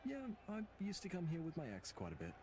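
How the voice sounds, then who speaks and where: A man's voice: a little high, wildly swooping pitch, fast. A man acting a troubled, self-doubting, earnest young man in a visual novel, with faint ambience behind him. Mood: casual. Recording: faint background noise and ambience.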